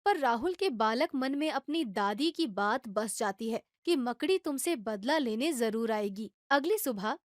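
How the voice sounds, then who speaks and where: A woman narrates a children's cartoon story in an even, storytelling voice. Her voice sits high, and the recording is dry and close. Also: a little slow, hardly any pauses, no room sound.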